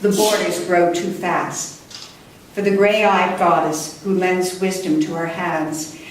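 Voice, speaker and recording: A woman poet reading her own work live at a book launch, with a measured, deliberate delivery and careful pauses. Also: fairly low pitch, steady tone. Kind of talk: live poetry reading with brief introductions. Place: indoor room before a live audience. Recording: distant mic, echoey room, faint noise.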